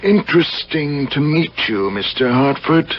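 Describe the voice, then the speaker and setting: An actor playing a wronged husband, coldly polite and sardonic, in a 1970s radio drama. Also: male voice, a little high, animated. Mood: coldly menacing. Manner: formal, deliberate, sardonic. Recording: muffled old radio broadcast.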